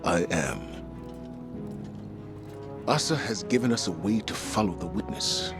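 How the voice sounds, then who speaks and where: A grave, resolute older commander from a video game. His deep voice carries calm authority and expressive weight, with music behind it. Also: measured pace.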